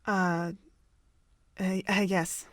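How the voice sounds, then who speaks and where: A woman's voice: medium pitch, flat delivery, extremely slow, dry recording, studio quality. A woman playing a guarded young nursing student in an amateur audio drama, speaking hesitantly. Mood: reluctant.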